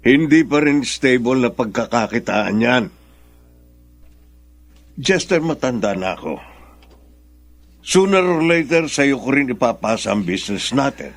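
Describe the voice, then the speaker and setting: A man acting in a Filipino radio drama as a smooth-talking, insistent older businessman father. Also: medium pitch, expressive, unhurried, pauses often, faint music behind. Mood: stern, insistent.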